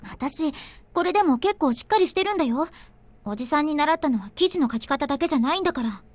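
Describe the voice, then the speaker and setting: A woman's voice: sits high, expressive, very fast. A woman voicing a capable, headstrong young woman in a visual novel, with a casual, questioning manner. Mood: insistent. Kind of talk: Japanese visual novel voice acting.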